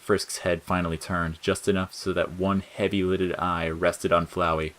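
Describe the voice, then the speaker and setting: Male narrator for audio fiction, low register, scripted storytelling. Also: level tone, normal speed, a bit bright.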